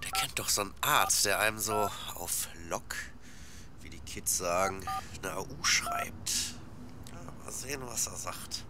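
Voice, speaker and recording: A man acts out a lazy young slacker from an audio play. His voice sits fairly low, and he talks in slouchy slang with sighs, sounding hungover. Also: measured pace, background noise, close to an everyday voice. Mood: weary, grumbling. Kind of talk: casual slangy audio drama dialogue.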